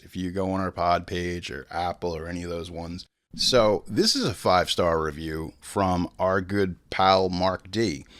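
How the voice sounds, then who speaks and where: A man in his forties hosting a podcast, chatting casually in slangy, animated stories and acting out quotes. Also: on the low side. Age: 40s. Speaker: podcast host. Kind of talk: casual solo podcast talk.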